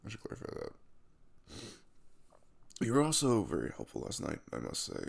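Male actor as drowsy, tender young man for intimate audio roleplay: low, very lively intonation, hesitant and casual. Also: fairly quick, creaky, husky, full character voice. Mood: sheepish, fond. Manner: hesitant, casual, chuckling mumble. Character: sleepy, caring young man waking in his crush's bed. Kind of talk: intimate ASMR boyfriend roleplay.

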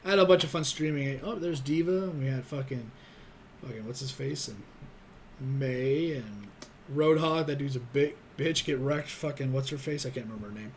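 A man's voice: mid-range voice, moderate pace, a little hiss or hum. An American man in his twenties giving casual, crude, joking reaction commentary full of swearing. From Arizona, United States. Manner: excitable, crude, joking, muttered asides. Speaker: reaction video host. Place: small plain room indoors. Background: animated short soundtrack with music.

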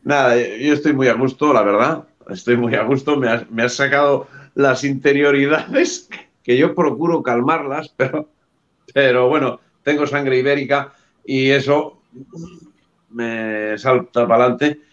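An older man, a guest author, chatting warmly on a video call and laughing easily. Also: mid-range voice, fairly even intonation, unhurried. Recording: dull video call audio.

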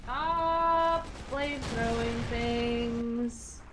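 A woman's voice: a little high, very slow, a little hiss or hum. Playful young woman chatting while gaming. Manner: playful, pleading, teasing. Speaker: co-player in a multiplayer game. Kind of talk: casual gameplay chat. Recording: faint game gunfire behind. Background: gunfire from a war game.

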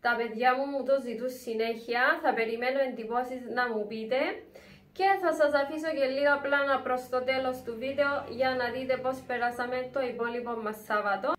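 A woman talks through her shopping item by item. Her voice sits fairly high, and her manner is chatty and easygoing. She is recorded indoors in a bright room at home. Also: fairly even intonation. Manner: chatty, warm sign-off, item-by-item show and tell. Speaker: vlogger. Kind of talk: casual supermarket haul vlog.

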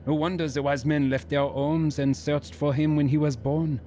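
An actor playing an earnest French husband, a musician and devout new believer, in a reverent radio drama style. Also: male voice, on the high side, level tone, moderate pace, dark tone, a little hiss or hum, natural voice. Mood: reverent, searching.

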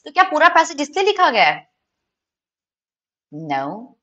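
A woman's voice: high voice, huge pitch swings, rapid-fire, fairly bright tone, muffled recording. A young woman with an Indian accent teaching an online exam lesson, explaining options to students directly with emphatic questions and switching between two languages. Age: young adult. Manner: mixes Hindi and English, addressing students directly.

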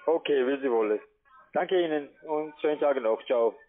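A man's voice: medium pitch, moderate pace. A man working as a call centre agent, not a native German speaker, making a sales call. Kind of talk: phone sales talk. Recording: tinny phone line.

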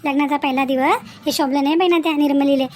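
Cartoon village aunt, played by a woman, Varhadi Marathi accent, very high voice, fussing and folksy, repeats her points. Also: steady tone, quick pace, a little mellow, a little hiss or hum, natural voice. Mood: indignant sympathy. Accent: Varhadi Marathi, Vidarbha. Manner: folksy, repeats herself, punctuates with questioning hums. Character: consoling, indignant village aunt, fond of the bride. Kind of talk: cartoon family melodrama acting.

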